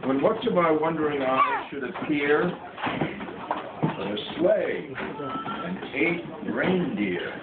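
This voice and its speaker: A man's voice: a little high, animated. A man playing a jolly old Santa Claus, reading a Christmas poem aloud to children in a theatrical, avuncular way with chatty asides. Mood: storytelling, jolly. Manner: theatrical, avuncular, pauses to chat with listeners. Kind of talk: live storytelling, reading verse aloud with audience asides. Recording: tinny, echoey, distant amateur event recording, noisy crowd.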